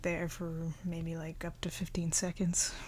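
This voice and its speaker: A woman speaking softly and often in a near whisper, in a relaxed, chatty ASMR show-and-tell. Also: on the low side, measured pace, a little hiss or hum. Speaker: ASMR video creator showing a collection.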